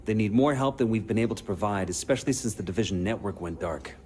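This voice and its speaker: An actor playing a weary, sardonic comms coordinator in a video game, briefing in a blunt, informal way. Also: male voice, on the low side, fast, a little mellow, lightly acted. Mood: wry, urgent. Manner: blunt, informal, foul-mouthed. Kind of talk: video game cutscene acting.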